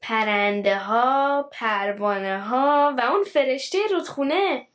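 A woman's voice: a little high, lively intonation, close to an everyday voice. An actress playing a brave, loving little girl in a children's radio play. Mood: determined.

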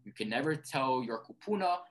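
A man's voice: medium pitch, animated, a little fast, fairly bright tone, slight background noise. A young man from Hawaii, an interview guest, talking earnestly and explaining his thoughts with frequent restarts. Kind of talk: reflective conversation.

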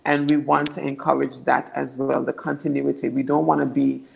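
Deep-voiced young woman, gravelly. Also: little pitch movement, moderate pace, a little mellow, poor audio. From Anguilla. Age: young adult. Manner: earnest, repeats words as she builds points. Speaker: political candidate. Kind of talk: interview answers.

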